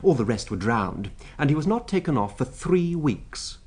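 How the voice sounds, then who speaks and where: A man's voice: mid-range voice, big pitch swings, moderate pace, darkish tone, lightly acted. A man acting in a radio drama with a British accent, playing a talkative, wryly amused royal secretary with an expansive, emphatic, rhetorical manner. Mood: wry, reflective.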